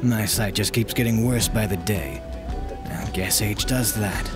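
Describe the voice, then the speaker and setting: A man playing a mild, polite older gentleman sorcerer in an audiobook, apologetic and courteous. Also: on the low side, unhurried, natural voice. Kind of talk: audiobook character dialogue. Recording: music and tavern crowd behind.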